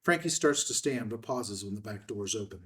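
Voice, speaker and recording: A man's voice: fairly low pitch, lively intonation, normal speed, far from the mic. Man narrating screenplay, slightly off-mic. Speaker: stage directions narrator. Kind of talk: scripted narration at a table read.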